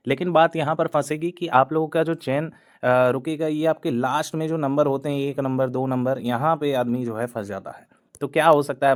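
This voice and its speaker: A man hosting an exam-news channel, talking in a loose explainer style with frequent hesitations and English words mixed in. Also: mid-range voice. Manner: repetitive, hesitant fillers, Hindi-English mix. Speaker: exam coaching channel host. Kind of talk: explainer talk to camera.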